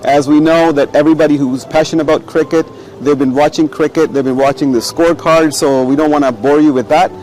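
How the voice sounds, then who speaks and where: A man from Pakistan hosting a casual cricket chat, halting with frequent fillers and restarts. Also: on the high side, steady tone, a little mellow, low-quality recording. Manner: hesitant, many fillers and restarts. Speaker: sports show host.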